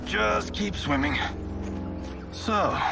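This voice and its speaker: An actor playing a cocky young fighter in a video game, flippant and sarcastic with casual slangy talk. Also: male voice, high voice, drawn-out words, vocal fry, full character voice. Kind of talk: dramatic video game voice acting. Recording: loud cinematic music behind the voice.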